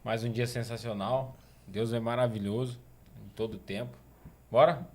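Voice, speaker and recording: A man's voice: medium pitch, fairly even intonation, moderate pace. A Brazilian man co-hosting a podcast, joking and using slang with religious asides. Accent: Brazilian Portuguese. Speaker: podcast co-host. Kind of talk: casual podcast chat.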